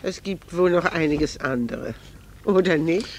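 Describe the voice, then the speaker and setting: An actress playing a restrained, dignified middle-aged wife in a radio drama, wounded and incredulous, with theatrical diction. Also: female voice, on the low side, unhurried, natural voice. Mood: guarded, polite. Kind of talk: 1960s German radio drama acting.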